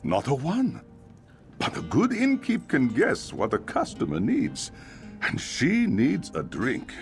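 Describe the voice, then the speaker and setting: A man's voice: mid-range voice, huge pitch swings, slow, soft background music, exaggerated voice. A man playing a nervous, chatty innkeeper in a fantasy game, with a flustered, hesitant way of talking. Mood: uneasy, chatty. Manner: stammering, nervous hesitations and sighs.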